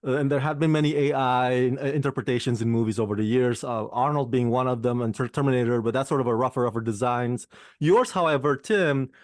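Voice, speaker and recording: A man interviewing actors about a film, eager and quick to laugh. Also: mid-range voice, no room sound, clean studio sound. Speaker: film interviewer, channel host. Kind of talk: enthusiastic interview questions.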